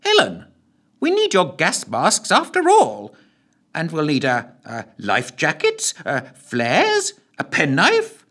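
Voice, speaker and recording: A fussy, genteel older gentleman, put on by a man narrating. He stammers and hesitates in a high, lilting voice, and his phrasing sounds prim and a little patronising. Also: huge pitch swings, slow, exaggerated voice. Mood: eager.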